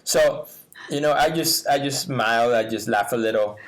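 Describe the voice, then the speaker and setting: A middle-aged man from Mexico with a Mexican Spanish accent, Spanish his first language, co-hosting a podcast in a lively, casual way with lots of laughs and fillers. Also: mid-range voice, moderate pace, poor audio. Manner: animated, laughs often, many fillers. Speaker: podcast co-host.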